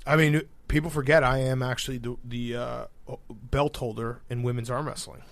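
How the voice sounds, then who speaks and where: A man's voice: medium pitch, steady tone, normal speed, vocal fry, no room sound. A man co-hosting a casual sports talk podcast, joking and arguing with plenty of swearing. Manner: argumentative, joking, profane. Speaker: podcast co-host.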